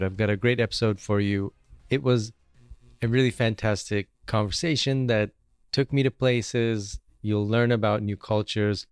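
Relaxed male podcast host, dry sound. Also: fairly low pitch, clear, resonant tone, no room sound. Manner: relaxed, friendly, hesitant with fillers. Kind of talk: casual podcast interview.

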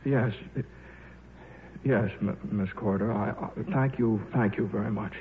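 An actor playing a shy, absent-minded middle-aged scientist in a 1950s radio drama, with a hesitant, stammering delivery. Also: male voice, fairly low pitch, a little slow, close to an everyday voice. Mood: flustered. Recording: muffled, noisy old radio broadcast.

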